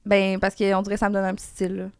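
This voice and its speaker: Young woman, Quebec French accent, deadpan teasing, dry close sound. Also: mid-range voice, racing, smooth, no echo. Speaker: guest. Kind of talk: casual comedic interview.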